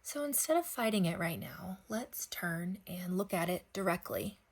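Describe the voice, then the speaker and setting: A woman leading a guided sleep meditation, speaking gently in unhurried phrases with long pauses. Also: on the low side, expressive, gravelly, husky.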